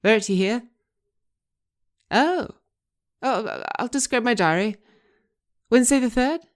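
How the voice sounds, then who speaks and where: A woman's voice: fairly high pitch, animated, unhurried, voice pushed up. A woman playing an eager, polite actress who gushes in short phrases, in a scripted audio drama. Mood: eager, delighted. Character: eager, chirpy actress angling for work.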